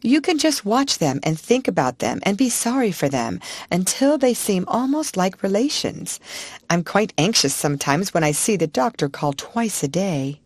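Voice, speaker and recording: A woman's voice: mid-range voice, big pitch swings, moderate pace, darkish tone, no room sound, pitched above the performer's own voice. Woman playing a politely defiant young schoolgirl. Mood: fond.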